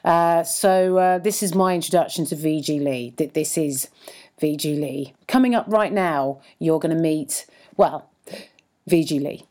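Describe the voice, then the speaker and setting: A woman's voice: deep voice, measured pace, darkish tone. A woman comedian co-hosting a podcast, tired and self-deprecating, talking in a rambling way with restarts and laughs, recorded on a laptop without a microphone. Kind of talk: casual podcast banter.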